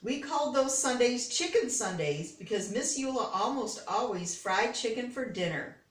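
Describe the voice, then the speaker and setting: A woman's voice: on the high side, lively intonation, normal speed. An older American woman reads a children's picture book aloud expressively, with chatty personal asides. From Detroit, United States. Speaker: storybook reader. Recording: roomy, echoey, distant microphone.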